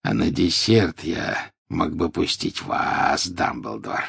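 A savage werewolf grates out his words low, slow and half under his breath. A man plays him in a husky voice. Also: expressive, fairly bright tone, exaggerated voice. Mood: gloating. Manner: grating, growling. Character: savage male werewolf, grating and hungry.

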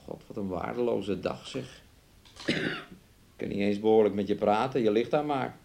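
A man's voice: fairly low pitch, normal speed, creaky, darkish tone. An actor playing a menacing, mocking young man in a radio play, taunting and wheedling as he speaks of himself in the third person. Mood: gloomy, brooding. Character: menacing, mocking young man bullying his sick fiancée. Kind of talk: Dutch radio play acting.

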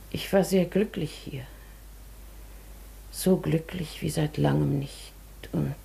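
Low-voiced, creaky, dignified lady. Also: dark tone, full character voice. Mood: wistful, resigned. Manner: measured, formal, composed. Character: dignified, wistful, resigned lady hotel guest. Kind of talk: German radio play acting.

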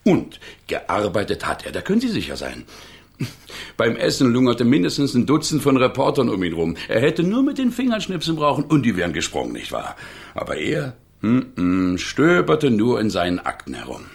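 Gravelly, garrulous older innkeeper. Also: male voice, mid-range voice, huge pitch swings, moderate pace, full character voice. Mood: gossipy. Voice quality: gravelly, husky. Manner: chatty, chuckling, tags remarks with a questioning phrase. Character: garrulous, earthy older provincial innkeeper, gossipy but guarded. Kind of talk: radio play dialogue.

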